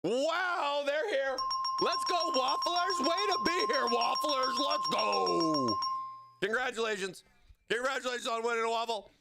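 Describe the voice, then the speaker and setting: A man hosting a livestream giveaway, excitable and playful as he hypes up his chat, his voice strained from overuse. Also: very high voice, measured pace, creaky, over loud music. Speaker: livestream host.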